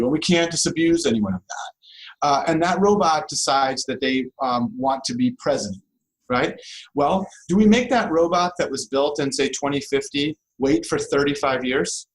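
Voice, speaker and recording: A man's voice: mid-range voice, low-quality recording. Male professor giving an interview, conversational. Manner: informal, rhetorical tag questions like "right?". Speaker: interview guest, law professor.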